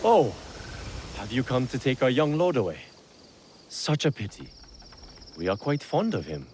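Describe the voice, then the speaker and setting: A man's voice: medium pitch, big pitch swings, measured pace, a little mellow, a little hiss or hum. A man playing a sly, falsely humble temple servant in a video game, with an unctuous, veiled manner. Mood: sly, mock-humble. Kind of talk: video game cutscene acting.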